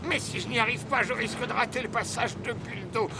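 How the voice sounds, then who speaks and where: Fretful, creaky high-voiced cartoon truck. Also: male voice, a little fast. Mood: strained, panicky. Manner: exclaiming, muttering to himself. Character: anxious hard-working talking cargo truck, stubborn and clumsy. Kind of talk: dubbed children's cartoon acting. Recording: loud music behind the voice.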